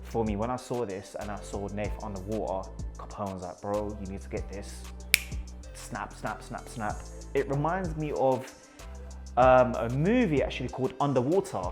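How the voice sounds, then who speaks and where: A man's voice: fairly low pitch, moderate pace, music in the background. A man from Iraq with a London accent, a photographer talking enthusiastically about his pictures and often repeating words for emphasis.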